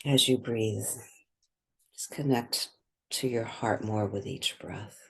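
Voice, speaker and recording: Female meditation guide, gravelly voice, unhurried pace, short phrases with pauses, soft-spoken. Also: very deep voice, low-quality recording.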